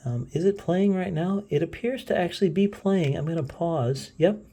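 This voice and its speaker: A man's voice: sits high, moderate pace, mellow. A man hosting a casual, unscripted gadget demo, hesitating and thinking aloud as he fiddles. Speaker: gadget video host.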